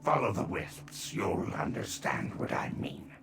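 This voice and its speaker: An actor playing a sly, wry adventurer in a video game, with a dry, deliberate delivery. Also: male voice, natural voice. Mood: intrigued. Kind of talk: video game character dialogue. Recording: clear background ambience noise.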